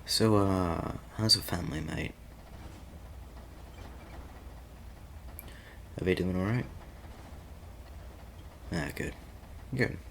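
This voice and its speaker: A man playing an easygoing, teasing young guy sweet on his friend, talking casually and haltingly in an audio roleplay. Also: low-pitched, creaky, fairly bright tone, slight background noise, full character voice. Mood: relaxed, friendly. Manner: halting and stammering, chuckling, casual slangy speech.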